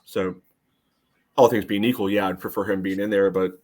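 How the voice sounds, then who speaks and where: A man's voice: low-pitched, flat delivery, normal speed, darkish tone. A man co-hosting a casual soccer podcast with a dry, emphatic, sardonic way of talking. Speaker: sports podcast co-host.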